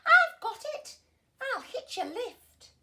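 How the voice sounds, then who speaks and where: Woman voicing a high-pitched tiny plucky girl snail. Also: very animated, slow, bright, forceful tone, a little hiss or hum, heavily acted. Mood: excited. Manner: exclamatory, earnest, storybook delivery. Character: tiny female sea snail, yearning and plucky.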